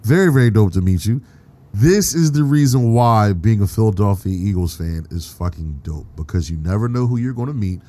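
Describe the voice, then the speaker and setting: A man hosting a casual podcast chat, excitable and profane, laughing often. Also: fairly low pitch, fairly quick. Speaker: podcast host.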